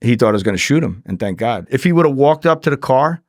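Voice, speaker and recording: A man's voice: medium pitch, lively intonation, quick pace, no echo, studio quality. A middle-aged man telling anecdotes casually as a podcast guest, acting out the dialogue and swearing freely. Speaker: podcast guest, former undercover agent.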